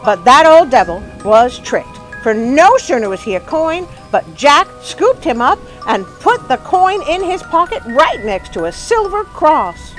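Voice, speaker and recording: A woman hosting a story show, telling tales in an expressive, folksy, animated way to her listeners. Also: sits high, a little slow, hardly any pauses, poor audio. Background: music.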